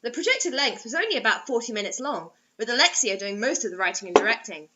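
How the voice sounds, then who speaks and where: A woman's voice: on the high side, very animated, fast, muffled recording, very noisy. A woman giving a comedic, mock-dramatic reading of a story, breaking off for sarcastic banter as a co-host.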